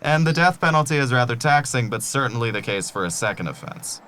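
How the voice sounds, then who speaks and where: A man's voice: medium pitch, expressive, fairly quick, sharp tone. A man playing a menacing gang leader in an audio drama, taunting with falsely courteous, folksy threats.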